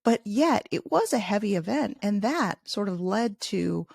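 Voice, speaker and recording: Middle-aged woman from Chicago, native Spanish speaker, no accent; gravelly, talkative, dry mic. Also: mid-range voice, lively intonation, measured pace, darkish tone, no room sound. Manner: chatty, many restarts, laughs easily. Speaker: podcast host and author, interviewed as guest. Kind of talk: casual podcast interview.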